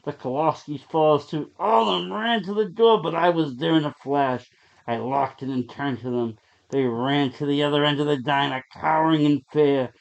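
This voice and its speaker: A man's voice: fairly high pitch, normal speed, gravelly. Man narrating horror, dull lo-fi recording. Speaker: story reader. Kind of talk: dramatic horror story narration. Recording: dull, lo-fi sound.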